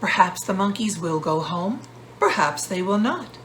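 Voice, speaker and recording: A woman voicing a wise, calm woman artist who gives measured advice in a children's story. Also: medium pitch, slight background noise, natural voice. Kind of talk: storybook character voice.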